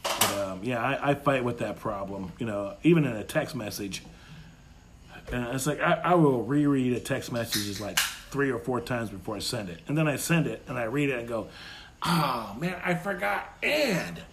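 A man's voice: mid-range voice, normal speed, noisy background. A man in casual political chat, speaking bluntly with swearing and repeating himself for emphasis.

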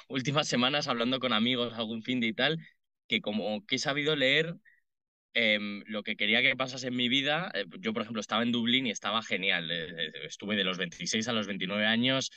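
Male, thirties, Madrid accent. Lowish pitch, very sharp bright tone, close dry recording. Colloquial podcast guest. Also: no echo, lo-fi sound. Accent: Madrid Spanish. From Madrid, Spain. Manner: informal, colloquial. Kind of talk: conversational interview answers.

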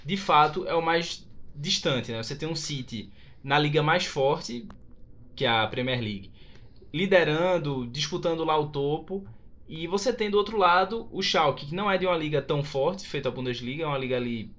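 A man's voice: fairly high pitch, animated, moderate pace, a bit bright. A man with a Brazilian accent discussing football casually as a podcast panelist. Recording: slightly dull, lo-fi sound.

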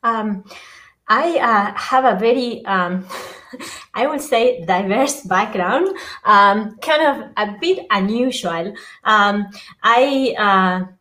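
A woman's voice: medium pitch, lively intonation, slow, bright, forceful tone. A middle-aged woman from Argentina with an Argentine Spanish accent, chatting as an interview guest in a hesitant way, full of ums and uhs and small laughs. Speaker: guest, app creator. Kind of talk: casual interview chat.

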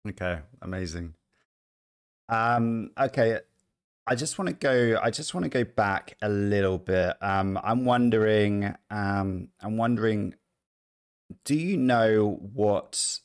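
A man's voice: fairly low pitch, slow, clear, resonant tone. A man asking interview questions, hesitant and searching for his words.

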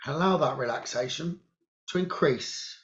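Meditation teacher, male, medium pitch; soothing guided-relaxation read, hushed and measured with pauses; slightly muffled lo-fi sound. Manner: measured, hushed delivery in short phrases with pauses.

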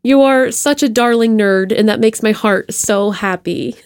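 A woman hosting a podcast in casual chat, with a gushing, expressive manner. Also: a little high, measured pace, smooth, no echo, clean studio sound. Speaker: podcast host.

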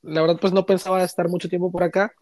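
A man's voice: high-pitched, little pitch movement, quick pace, a little mellow, no room sound, clean studio sound. A man in his late twenties from Chiapas with a Mexican accent, chatting informally as an interview guest. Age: late 20s. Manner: easygoing, informal, uses slang. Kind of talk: casual interview chat.

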